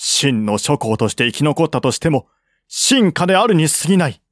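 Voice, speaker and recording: A man's voice: a little high, sing-song, normal speed, no echo, clean studio sound. A man reading as a proud, loyal general. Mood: indignant, proud. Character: proud, loyal male general of a fallen dynasty.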